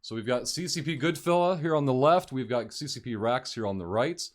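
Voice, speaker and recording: A man's voice: mid-range voice, expressive, a little fast. A man hosting a live-streamed interview, asking loose, rambling questions with enthusiasm. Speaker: host.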